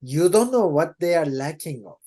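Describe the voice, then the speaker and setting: A man from Turkey with a Turkish accent and a somewhat high-pitched voice. He talks casually and haltingly, repeating words as he searches for what to say. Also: lively intonation, mellow. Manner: hesitant, many restarts and laughs. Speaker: interview guest, Salesforce developer. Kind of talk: casual conversational interview.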